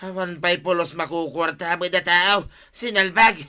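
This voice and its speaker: An actor with an Ilocano Filipino accent playing a hot-tempered, gruff husband addicted to gambling in a radio comedy-drama. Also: male voice, high-pitched, steady tone, unhurried, bright, forceful tone, full character voice. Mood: angry, threatening.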